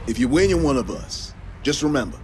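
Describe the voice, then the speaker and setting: An actor playing a cool, cocky street racing mentor in a video game cutscene, slangy and laid-back. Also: male voice, medium pitch, sing-song, fairly quick, slight background noise, close to an everyday voice. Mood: cocky, challenging.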